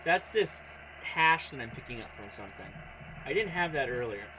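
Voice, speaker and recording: Guy doing a casual ham radio stream, kinda distracted, thinking out loud, medium pitch, noisy hissy background. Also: sing-song, normal speed. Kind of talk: casual livestream chat while operating a radio.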